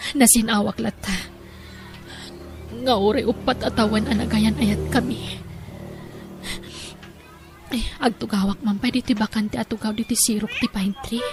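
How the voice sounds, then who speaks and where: High-pitched Ilocano drama heroine acted by a woman. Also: natural voice. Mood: heartbroken, brooding. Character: tearful jilted young woman, kind to a child. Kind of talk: Ilocano radio-style audio drama.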